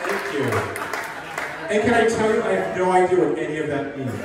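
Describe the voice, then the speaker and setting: Older male stand-up, high sing-song delivery, recorded from far off in a reverberant hall with a crowd laughing. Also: lively intonation, brisk, very noisy. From New Jersey. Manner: sing-songy, talks as if everything's a question. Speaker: stand-up comedian. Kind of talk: stand-up comedy set. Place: live venue with an audience. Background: audience laughter.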